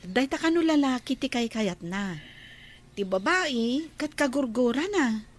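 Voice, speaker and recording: An actress playing a gentle, patient woman who coaxes a child to talk and murmurs her thoughts aloud. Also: high voice, animated, unhurried. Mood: explaining. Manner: soft, coaxing, often whispered asides.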